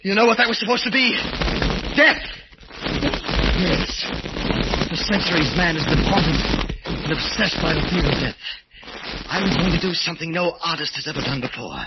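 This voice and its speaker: An actor playing an intense sculptor obsessed with death, brooding and theatrical, in 1940s radio horror style. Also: male voice, on the high side, lively intonation, normal speed, long pauses, natural voice. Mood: frenzied. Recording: noisy, muffled old radio recording.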